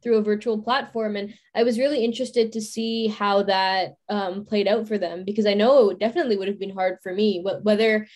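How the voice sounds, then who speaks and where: A woman's voice: medium pitch, steady tone, brisk, hardly any pauses, a little mellow. A university student in her twenties speaking on a video call panel, thoughtful and circling back with frequent "you know" and restarts. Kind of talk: casual panel discussion.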